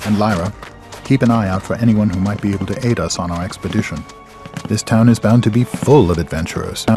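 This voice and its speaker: An actor playing a calm, fatherly older expedition leader in a video game, measured and courteous. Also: on the low side, music in the background, close to an everyday voice. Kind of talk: video game cutscene acting.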